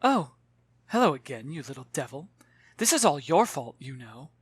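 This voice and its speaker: A man's voice: a little high, big pitch swings, normal speed, husky, no echo, full character voice. A man voicing a clever, kind young girl in an audiobook, speaking in a wry, familiar way. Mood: wry, gentle. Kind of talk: audiobook character voice.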